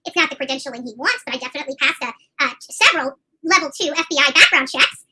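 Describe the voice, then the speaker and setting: A woman's voice: high voice, lively intonation, fast, pushed and bright, slight background noise. A woman host delivering a casual commentary rant, indignant and emphatic, repeating phrases to drive points home. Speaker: YouTube channel host covering missing persons cases.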